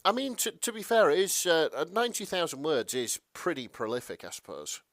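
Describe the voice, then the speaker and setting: A man co-hosting a podcast in casual chat, halting and stammering, mildly apologetic in manner. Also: a little high, sing-song, creaky, fairly bright tone, no room sound, clean studio sound. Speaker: podcast co-host.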